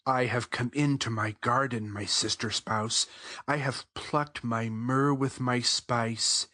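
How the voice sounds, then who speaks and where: A man playing a tender, adoring bridegroom king in a solemn, measured dramatized reading of scripture poetry. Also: mid-range voice, steady tone, pushed and bright, no echo, studio quality. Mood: contented. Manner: solemn, measured, archaic poetic phrasing. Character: King Solomon as tender adoring lover praising his bride.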